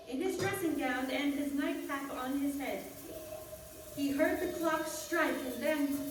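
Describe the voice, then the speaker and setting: A woman narrating a radio play like a storybook, measured and dramatic, phrase by phrase. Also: high-pitched. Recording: very echoey, distant mic, music behind.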